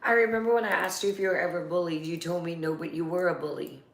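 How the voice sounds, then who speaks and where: A woman hosting a casual livestream interview, talking in a chatty, animated way. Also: fairly low pitch, creaky, reverberant. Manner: chatty, animated, quick to laugh. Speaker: host.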